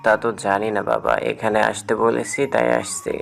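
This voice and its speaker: A man acting a mild, obedient middle-aged manager uncle with a gravelly voice in an audio story. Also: fairly low pitch, fairly even intonation, normal speed, soft background music. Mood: mild, unbothered.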